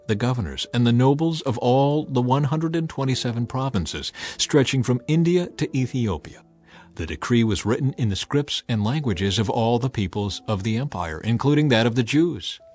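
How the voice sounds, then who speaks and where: A man narrating scripture as an audio drama, with dramatic, emphatic delivery. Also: medium pitch.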